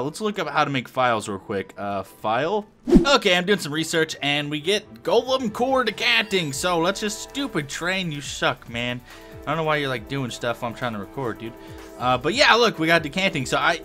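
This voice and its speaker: A young man talking through a modded Minecraft session in a bright, slightly raised voice that swings lively up and down. He rambles and thinks aloud with plenty of fillers, sounding a touch hoarse, over faint music. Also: fairly high pitch, a little fast. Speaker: Minecraft let's play host. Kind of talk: casual gameplay commentary.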